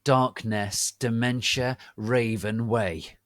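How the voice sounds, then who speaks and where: A man voicing a grave elderly wizard headmaster with solemn, portentous pauses in a story reading. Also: mid-range voice, steady tone, a bit bright, dry recording, studio quality.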